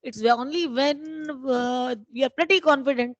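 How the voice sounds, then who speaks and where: A woman's voice: sits high, unhurried, no room sound, lo-fi sound. A woman with an Indian accent answering as a company executive on an investor conference call, explanatory and matter-of-fact.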